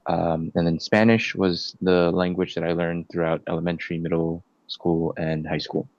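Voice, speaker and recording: A young man with a very low voice answers interview questions in a halting, casual way, stopping often to think. The sound is dry and a little dull, like a lo-fi recording. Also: flat delivery, a little mellow, dry recording. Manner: hesitant, many fillers and restarts. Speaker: interviewee, nursing student.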